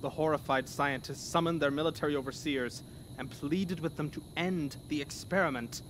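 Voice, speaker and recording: A man's voice: a little high, normal speed, a bit bright, slight background noise, rough recording. A man narrating a scripted horror story in a dramatic, theatrical manner.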